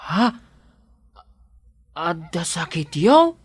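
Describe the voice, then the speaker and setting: A kindly rural farmhand in an Ilocano-accented radio drama. He talks slowly and deferentially, hesitant and eager to help, easily flustered by his lady employer. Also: fairly low pitch, very animated, husky, no room sound, clean studio sound, heavily acted. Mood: concerned.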